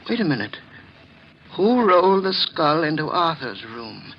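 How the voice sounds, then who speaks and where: An actor playing a nervous, evasive, guilt-ridden murderer in a 1940s radio drama, speaking in hesitant stammers. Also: male voice, a little high, big pitch swings, a little slow, breathy edge, muffled recording, heavily acted. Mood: wary, scheming. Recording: noisy vintage 1940s radio recording.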